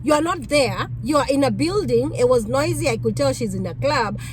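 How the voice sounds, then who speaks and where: A woman with a Kenyan accent, whose first language is Kikuyu, telling stories about being a rideshare driver in an animated, exclamatory way. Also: high-pitched, brisk, slight background noise. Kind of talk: casual storytelling vlog.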